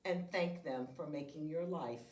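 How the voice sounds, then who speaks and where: A woman reading a children's picture book aloud with deliberate, expressive phrasing meant for young listeners. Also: sits low. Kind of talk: read-aloud storytelling. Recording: roomy echo, distant microphone.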